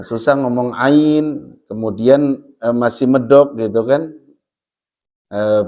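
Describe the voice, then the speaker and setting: A man's voice: mid-range voice, darkish tone. A man teaching Quran recitation in a mosque, chatting and joking, and reading verses aloud to show how they sound. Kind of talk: casual religious study talk.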